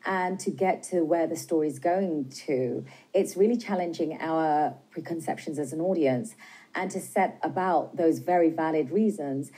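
A woman's voice: deep voice, mellow, breathy edge, rough recording. A woman in her forties with a British accent, a film director answering interview questions earnestly in a TV news studio, speaking with a lapel mic. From Britain. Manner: earnest, measured, some hesitations.

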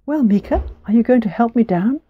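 High little mouse girl, eager and sweet - a woman storyteller puts her on, close dry sound. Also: expressive, brisk, slightly rough, no echo, phone-quality sound. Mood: tender. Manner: high, eager, bright-eyed. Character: sweet lively little female mouse bride.

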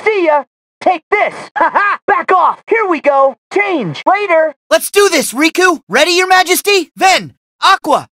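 An actor voicing a spirited, earnest teenage boy hero in a video game, with energetic, punchy exclamations. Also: extremely high pitch, measured pace, dry recording, exaggerated voice. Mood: battle-ready. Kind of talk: video game voice acting, battle barks and exclamations.

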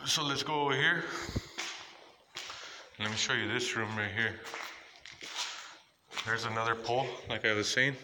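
Rambling man touring a dark ruin. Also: medium pitch, noisy background. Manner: rambling, repetitive pointing out spots. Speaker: urban explorer vlogger. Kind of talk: casual walkthrough narration. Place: dark abandoned building, indoors. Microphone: handheld phone camera.

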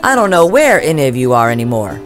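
A woman's voice: rumbling low, big pitch swings, fast, faint music behind. An actress playing a wry, weary woman leader in an audio drama, with a rambling manner. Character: wry, weary female overseer, a community leader.

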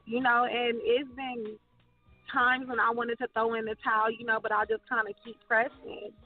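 A woman's voice: on the high side, level tone, normal speed, faint music behind. A woman in her thirties, a guest rapper talking candidly and reflectively in a casual radio interview, laughing easily. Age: 30s. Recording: tinny phone-line sound.

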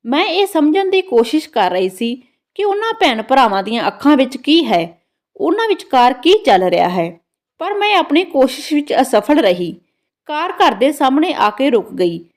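High-pitched, lively woman narrating a story. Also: moderate pace. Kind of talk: scripted first-person story narration.

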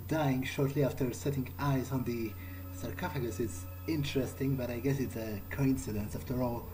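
Male gamer, mid-pitched, in-character vampire musing, halting delivery, game ambience and clear noise behind. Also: steady tone. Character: self-assured vampire thinking aloud. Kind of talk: in-character gameplay commentary, thinking aloud. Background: video game ambience.

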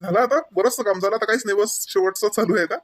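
A man's voice: high voice, fast talker. A man voicing chatty male relatives in a family comedy cartoon, with colloquial small talk and a Varhadi Marathi accent from Vidarbha. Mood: chatty, polite.

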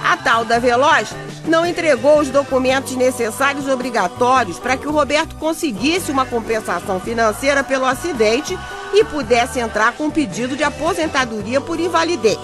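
Actress as a high-voiced, wry Brazilian wife narrating. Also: female voice, a little fast, lightly acted. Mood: wry storytelling. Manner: wry, conversational, addressing listeners. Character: chatty, exasperated bricklayer's wife telling the tale. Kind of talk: radio soap opera narration. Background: music under the voice.

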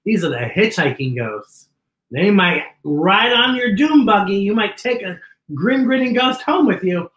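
Man, middle-aged, children's read-aloud, theatrical, slow drawn-out phrasing, echoey room. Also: sits high, sing-song, a bit bright. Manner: theatrical, exaggerated, drawn-out delivery. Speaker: children's storytime host. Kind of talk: animated picture-book read-aloud for children.

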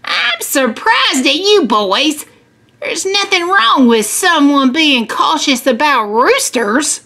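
A woman's voice: high-pitched, lively intonation, slow pace, bright, forceful tone, pitched above the performer's own voice, full character voice. A woman voicing a kindly, folksy male farmer in a storybook, explaining things patiently and reassuringly. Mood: firm, reassuring.

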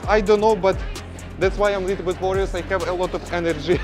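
A man chatting casually and apologetically in halting, giggly broken English in a gym. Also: sits high, fairly even intonation. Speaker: prankster host posing as a beginner gym cleaner. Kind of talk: casual prank chat with strangers. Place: busy gym, indoors. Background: music.

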